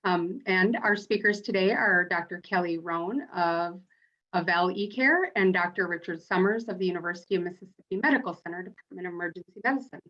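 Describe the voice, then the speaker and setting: A woman's voice: fairly low pitch, brisk. A woman hosting a webinar, reading out an introduction. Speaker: webinar host. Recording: dull, band-limited webinar audio.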